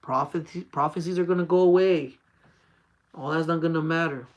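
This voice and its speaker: A man's voice: a little high, normal speed, highs cut off. A man in his forties hosting a casual faith talk for his channel, speaking in an earnest, repetitive, urging way. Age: 40s. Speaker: channel host.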